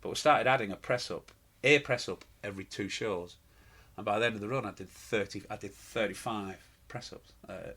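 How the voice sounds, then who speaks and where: A middle-aged man chats as an interview guest, wandering through his stories with plenty of fillers and restarts. His voice sits low and husky, with a slightly breathy edge. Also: moderate pace, hardly any pauses, pushed and bright. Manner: rambling, anecdotal, many fillers and restarts. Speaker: pantomime performer and guest. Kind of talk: casual interview chat.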